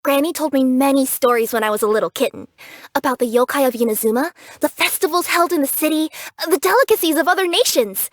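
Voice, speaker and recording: Game role: actress as young cat yokai delivery girl, high-pitched, bubbly, curious and earnest, very dry close studio sound. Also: fast talker, no room sound, close to an everyday voice. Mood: fond, dreamy. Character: cheerful young female cat yokai package courier. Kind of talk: English video game character voice acting.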